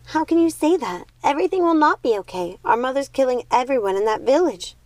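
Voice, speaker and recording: A woman reads the part of a young witch sister in a storybook tale. Her voice rides high and emphatic, full of horror and scorn. She argues and pleads like a rebel, in a dry, close sound. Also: lively intonation, fairly quick, a little mellow, no echo. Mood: accusing.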